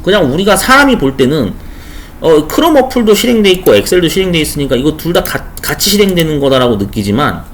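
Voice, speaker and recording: A man's voice: a little high, big pitch swings, quick pace, a little hiss or hum. A man teaching an exam prep lesson over a shared screen, talking casually and often stopping to check in with rhetorical asides.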